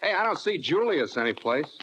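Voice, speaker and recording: A man's voice: on the high side, big pitch swings, fairly quick. A man playing a chummy, upbeat bandleader's sidekick in old-time radio comedy, breezy and slangy. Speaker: comedy supporting player. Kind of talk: 1940s-50s radio comedy acting. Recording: muffled, noisy old radio recording.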